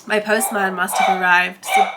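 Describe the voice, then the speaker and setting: A woman's voice: medium pitch, flat delivery, moderate pace, heavy background noise. A woman with a congested, head-cold voice, chatting casually as the host of a sewing vlog while feeling under the weather. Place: home sewing room.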